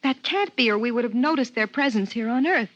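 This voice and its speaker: A woman's voice: a little high, lively intonation, brisk. An actress playing an earnest, sceptical woman in a 1950s radio drama, questioning in an incredulous manner. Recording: muffled 1950s radio recording.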